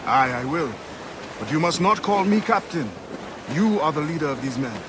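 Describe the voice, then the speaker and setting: A man's voice: a little high, animated, lightly acted. Man playing a proud sea captain, noisy surroundings. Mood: reflective, firm. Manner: formal, measured. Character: proud, seasoned freed-slave sea captain, guarded and principled. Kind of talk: video game dramatic acting. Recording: noisy ambience behind game dialogue.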